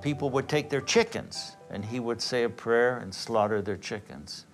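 A man's voice: mid-range voice, expressive, moderate pace, faint music behind. A man in an interview describing the old neighborhood. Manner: reminiscing, descriptive.

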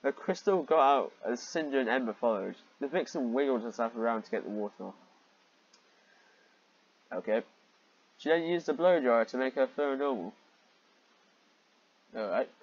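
Male reader, husky mid-pitched voice, sarcastic and exasperated, swears freely; dull home recording. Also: animated, normal speed, pauses often. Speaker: reader and commentator of fan fiction. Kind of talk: reading fan fiction aloud with mocking asides.

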